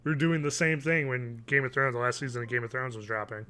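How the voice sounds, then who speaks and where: Bright-voiced man in casual podcast chat. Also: medium pitch, steady tone, fast talker. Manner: informal, conversational. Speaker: podcast co-host. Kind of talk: casual chat, reviewing TV shows. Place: home room, on a video call.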